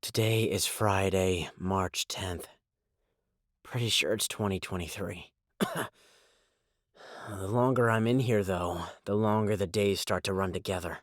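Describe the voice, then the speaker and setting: An actor playing a bitter, ailing oil rig worker held in quarantine in a horror audio drama. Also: male voice, low voice, measured pace, no echo, studio quality. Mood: weary, wary. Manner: bitter, agitated, profane. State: coughing, ill.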